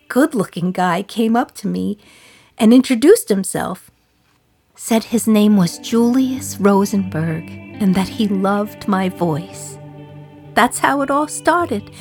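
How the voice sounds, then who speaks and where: Slow, wry imprisoned woman, radio drama. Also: medium pitch, animated, a little mellow, breathy edge, faint music behind, exaggerated voice. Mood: proud, glowing. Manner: wry, confiding, storytelling with dramatic pauses. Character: imprisoned communist woman and young mother, wry, passionate, reflective. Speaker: actor in a solo radio play. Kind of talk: dramatic first-person radio play monologue.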